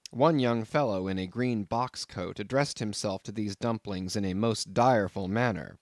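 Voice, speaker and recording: A man reading a classic novel aloud as scripted narration, in a measured, expressive storytelling manner. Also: fairly low pitch, no echo, clean studio sound. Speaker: audiobook narrator.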